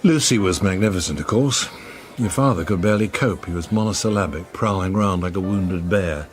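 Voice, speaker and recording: An actor playing a wry, urbane older academic reminiscing in a radio drama. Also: male voice, low voice, a little fast, lightly acted. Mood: wry reminiscence. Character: older urbane academic man, wry about an old affair.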